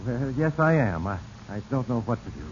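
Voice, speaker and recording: An actor playing an alarmed, indignant businessman with an excitable delivery in a 1940s radio drama. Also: medium pitch, moderate pace, close to an everyday voice. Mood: startled, worried. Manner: agitated, excitable. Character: alarmed, indignant businessman confronted by his cousin. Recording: dull, noisy 1940s radio recording.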